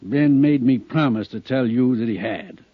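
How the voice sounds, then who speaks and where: A man's voice: mid-range voice, moderate pace, no echo, close to an everyday voice. A man playing a reluctant, subdued country doctor in an old radio drama. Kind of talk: 1950s radio drama acting. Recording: dull old radio recording.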